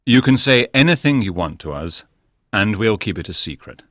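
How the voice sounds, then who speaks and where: A man acts out a courteous gentleman detective from a storybook. His voice sits low and moves with very lively intonation. He is measured, polite and reassuring, recorded close and dry. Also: no echo. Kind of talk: dramatised audiobook reading.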